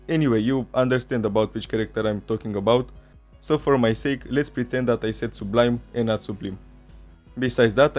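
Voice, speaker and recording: A man's voice: mid-range voice, a little mellow. A man whose first language is Romanian, hosting a chatty fan commentary video in English. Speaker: channel host.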